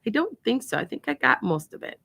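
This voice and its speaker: A woman's voice: on the high side, very animated, fairly quick, creaky, sharp tone. Chatty psychic woman with a Wisconsin accent. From Wisconsin, USA. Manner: chatty, rambling, laughs often. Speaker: psychic radio show host. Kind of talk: casual chat and psychic readings.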